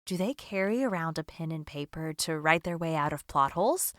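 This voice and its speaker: A woman's voice: low voice, animated, brisk, fairly bright tone, dry recording, studio quality. An actress playing a curious, playfully skeptical human woman in an audio drama, rambling and wry in a naturalistic way. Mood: delighted, teasing.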